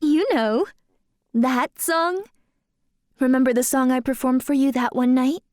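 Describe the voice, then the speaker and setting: A polite, coy young woman with a mystical side played by an actress in an audio drama. Her voice is high and recorded very dry. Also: unhurried, darkish tone, no room sound. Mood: coy, teasing. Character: polite, coy young woman dancer who can fly.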